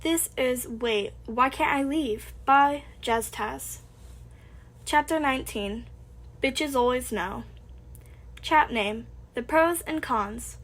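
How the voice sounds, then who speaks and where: A woman's voice: high-pitched, slow, fairly bright tone. A woman reading a fan fiction aloud as a podfic narrator. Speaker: podfic reader.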